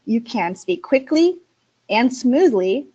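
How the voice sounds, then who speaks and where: A woman who teaches English as a second language, giving an upbeat, clearly spoken webinar presentation. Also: fairly high pitch, sing-song, takes it slowly, dark tone, highs cut off. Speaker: ESL instructor.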